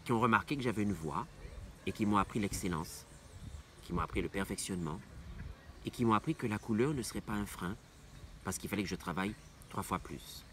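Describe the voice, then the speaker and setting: A man's voice: fairly low pitch, brisk, pauses often, bright, forceful tone. A middle-aged man from Martinique, an opera singer answering interview questions with passion, repeating phrases for emphasis. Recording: faint background noise.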